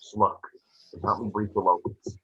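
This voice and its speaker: A man's voice: lowish voice, flat delivery, moderate pace, a bit bright, husky, soft background music. A man playing a calculating, plain-spoken crime investigator boss in a script table read, insistent in his delivery. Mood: urgent, persuasive. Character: middle-aged male crime investigator boss, persuasive and calculating. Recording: muffled, roomy video-call audio.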